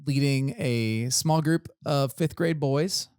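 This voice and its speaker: A nerdy, self-deprecating pastor chats casually on a church podcast, in a mid-pitched man's voice. The sound is dry and close to the mic, with no room around it. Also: slow, a little mellow, clear, resonant tone, dry recording.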